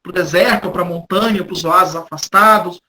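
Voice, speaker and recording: A Brazilian man giving an off-the-cuff academic lecture as a professor, halting and often repeating or rephrasing his words. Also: high-pitched, bright, forceful tone, slight background noise. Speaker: history professor, guest lecturer.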